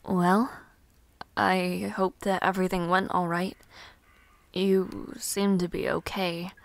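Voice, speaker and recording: A woman playing a caring, easily flustered young noblewoman in a fantasy audio roleplay, soft-spoken, hesitant and politely formal. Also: lowish voice, dry recording. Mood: gentle concern.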